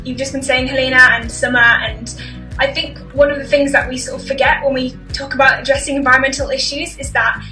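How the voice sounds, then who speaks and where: High, bright young woman, distant mic. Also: level tone, a little fast, roomy sound, soft background music. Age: young adult. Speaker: environmental charity co-founder.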